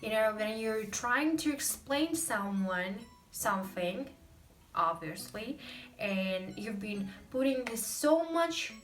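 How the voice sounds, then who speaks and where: A woman's voice: a little high, lively intonation, slow pace, a bit bright, roomy sound, recorded from a distance. A young woman with a Russian accent, hosting a casual language lesson, explaining chattily with many fillers. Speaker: host.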